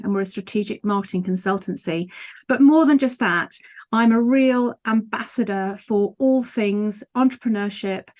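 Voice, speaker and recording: A woman's voice: mid-range voice, darkish tone. A woman speaking as a marketing consultant, introducing herself as a guest on a panel.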